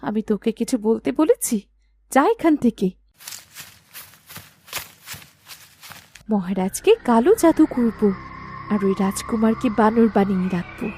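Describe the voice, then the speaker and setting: A woman's voice: a little high, lively intonation, normal speed, warm tone, slightly rough. A woman voicing a haughty, scheming stepmother queen in a fairy-tale cartoon, commanding and two-faced. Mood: angry, scheming.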